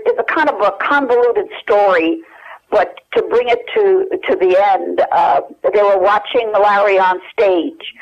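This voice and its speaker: An older woman reminiscing as an interview guest over the telephone, in a rambling, hesitant conversational way. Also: mid-range voice. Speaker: interview guest sharing family memories. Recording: dull telephone line.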